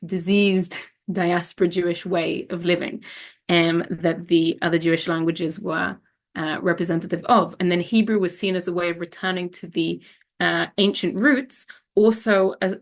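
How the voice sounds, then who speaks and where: Hesitant woman presenting slides. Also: lowish voice. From Israel. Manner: hesitant, many fillers and restarts.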